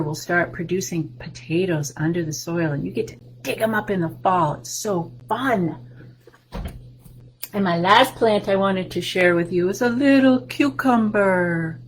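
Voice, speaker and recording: Slow, sing-song older woman reading to kids. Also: mid-range voice, slight background noise. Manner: warm, sing-song and slow, with big excited rises for kids. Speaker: children's librarian. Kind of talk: storytime reading and show-and-tell for children. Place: home room with bookshelves, indoors. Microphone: webcam video call.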